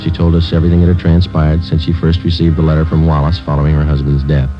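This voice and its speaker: An actor playing a terse, matter-of-fact police sergeant who narrates a case in a 1950s radio drama. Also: male voice, rumbling low, fast. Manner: clipped, flat, matter-of-fact. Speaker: detective narrator. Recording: dull old radio broadcast with music.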